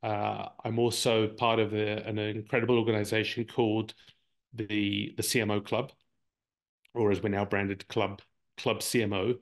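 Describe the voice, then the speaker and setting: A Brazilian-born man who speaks with an English accent and whose first language is Portuguese, explaining ideas at length as an interview guest. His voice sits fairly low and has a gravelly, creaky edge. He often circles back and repeats words while he finds his phrasing. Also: level tone, normal speed. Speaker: guest, marketing executive. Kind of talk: conversational interview answers.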